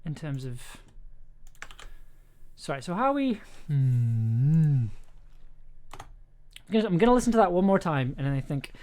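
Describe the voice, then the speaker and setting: A streamer chatting casually while working, thinking aloud in a hesitant way and trailing off, with a medium-pitched voice. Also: very animated, measured pace, pauses often, warm tone. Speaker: streamer, audio drama producer and composer. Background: music playing, keyboard typing.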